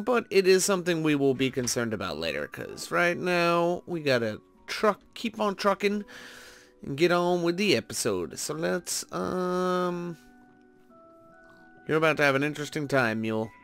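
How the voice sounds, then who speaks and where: A man with a slightly high voice gives casual commentary for a gaming video. He sounds chatty and playful, thinking aloud as he goes. Faint music plays behind him. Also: creaky, fairly bright tone. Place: indoor voice-over recording over gameplay. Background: background music.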